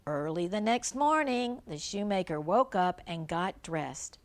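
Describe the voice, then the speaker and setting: Older woman reading a storybook, slow and expressive. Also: fairly low pitch. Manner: simple explanations, gentle questions to a young listener. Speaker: grandmother reading a storybook to children. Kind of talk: read-aloud children's storybook with chatty asides.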